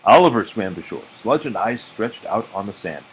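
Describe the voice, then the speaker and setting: A man narrating a children's story aloud expressively and deliberately, with dramatic pauses. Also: fairly low pitch, lively intonation. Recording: muffled audio with faint background noise.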